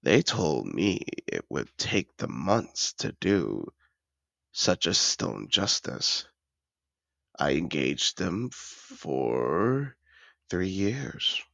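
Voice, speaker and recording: Man voicing a deep, gravelly, seductive dragon. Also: sing-song, fairly bright tone, no room sound, heavily acted. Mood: proud, rapt. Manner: slow, courtly, seductive, savouring words. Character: suave possessive male dragon in disguise, acquisitive treasure collector. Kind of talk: romantic fantasy audio roleplay, addressed to the listener.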